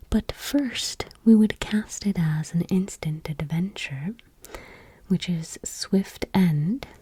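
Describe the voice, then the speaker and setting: Woman whispering slow, breathy ASMR. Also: lowish voice. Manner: gentle, slow, deliberate. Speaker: ASMR video creator. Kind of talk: soft-spoken ASMR, reading trading cards aloud. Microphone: close microphone, dry.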